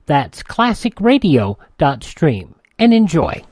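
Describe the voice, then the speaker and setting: Brief: male classic-radio host, animated read, dry booth. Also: a little high, slow pace, dry recording. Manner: smooth promotional delivery. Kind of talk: scripted radio announcing.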